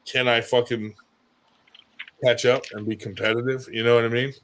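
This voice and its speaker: A man's voice: mid-range voice, level tone, moderate pace, a bit bright, slight background noise. A middle-aged man in a casual, rambling chat, swearing freely as he talks through his technique. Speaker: arm wrestler on a livestream show.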